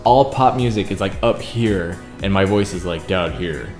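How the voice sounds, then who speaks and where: A young man with a very deep baritone voice, chatting earnestly to his viewers in a casual vlog, full of "like" fillers. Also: moderate pace, a little mellow, roomy sound, faint music behind. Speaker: YouTuber and singer.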